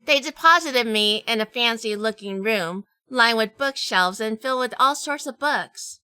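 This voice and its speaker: A woman's voice: on the high side, expressive, moderate pace, pushed and bright, clean tone, no room sound. A woman playing a sassy, tough, wisecracking private eye in a noir radio drama. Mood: wry, narrating. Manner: wisecracking, feisty. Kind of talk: noir scripted narration with acted dialogue.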